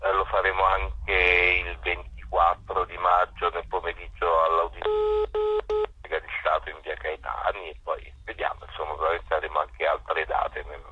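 A man speaking as a guest in a radio phone interview, explaining things in a halting, hesitant way. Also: low voice, completely flat delivery. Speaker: guest musician presenting a concert. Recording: dull phone line, roomy echo.

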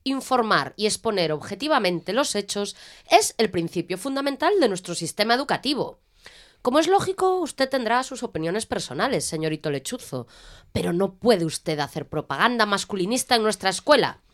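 An actress from playing a stern, evasive school headmistress in an audio drama, formal and admonishing. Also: female voice, medium pitch, big pitch swings, moderate pace, natural voice. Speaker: actor.

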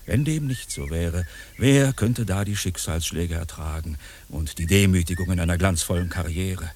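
An actor playing a grandiose, world-weary uncle in a German radio play, declamatory and easily exasperated. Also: male voice, low voice, moderate pace, darkish tone, a little hiss or hum, lightly acted. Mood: pompous, musing. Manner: declamatory, dramatically drawn out when musing.

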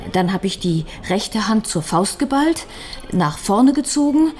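An actress playing a shaken but composed widow in a radio crime drama, recounting things in a halting, deliberate way. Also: female voice, medium pitch, big pitch swings, a little mellow.

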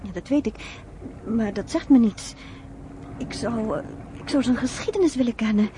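A woman's voice: fairly high pitch, normal speed, warm tone, slight background noise, lightly acted. An actress in a Dutch radio play voicing a guarded, conflicted woman with a hesitant, searching manner.

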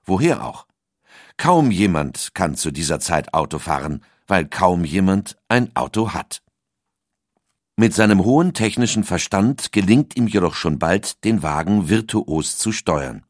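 A man reading a documentary script in measured storytelling narration. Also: sits low, expressive, no echo, studio quality.

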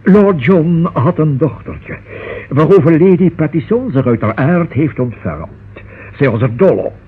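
An actor playing a cautious, pedantic notary in a Dutch radio drama, formal, long-winded and pompous. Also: male voice, fairly high pitch, expressive, unhurried, slightly rough, heavily acted. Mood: solemn, precise. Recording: thin, muffled old radio recording.

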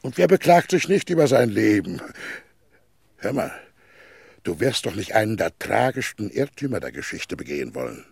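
A man's voice: on the low side, very animated, moderate pace, gravelly, breathy edge, no room sound, heavily acted. A man acting in a German radio play as a vain, powerful tycoon, pompous and urgent as he argues his case. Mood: boastful, urgent. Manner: pompous, urgent, persuasive, swinging between boasting and confiding.

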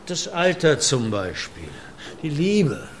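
An actor playing an assured, gently teasing art curator in a conversational radio drama, speaking deliberately with pondering interjections. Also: male voice, fairly high pitch, wildly swooping pitch, slight background noise, exaggerated voice. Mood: musing.

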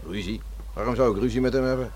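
An actor playing a gruff, stubborn village beachcomber in a Dutch radio play, grumbling and plain-spoken. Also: male voice, mid-range voice, fairly even intonation, quick pace, darkish tone, natural voice. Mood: guarded. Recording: noisy old radio play recording.

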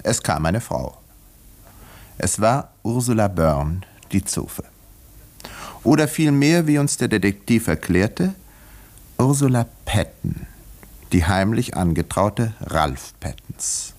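An actor playing a wry village doctor who narrates a murder mystery in a 1950s German radio play, measured and educated. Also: male voice, on the low side, big pitch swings, darkish tone, exaggerated voice. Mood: measured narration.

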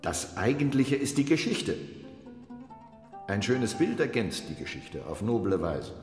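An actor playing an imperious, devout Ottoman sultan in a literary radio drama, speaking in a measured, formal and commanding way. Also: mid-range voice. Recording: echoey hall with long reverb, music behind.

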